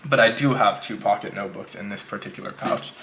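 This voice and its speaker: A man casually reviewing a notebook pouch in a relaxed, rambling way, a little sick and slightly hoarse. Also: lowish voice, fast, a little hiss or hum. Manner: relaxed, rambling, repeats himself. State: losing his voice, a bit sick. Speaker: EDC gear reviewer.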